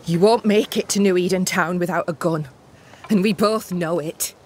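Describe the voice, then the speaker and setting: A woman's voice: fairly low pitch, moderate pace, darkish tone, a little hiss or hum, natural voice. An actress playing a proud, bitter young woman in a game cutscene, scornful and blunt. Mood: grudging, resentful. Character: bitter, proud young woman camp settler, resentful of her sister. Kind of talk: dramatic game cutscene acting.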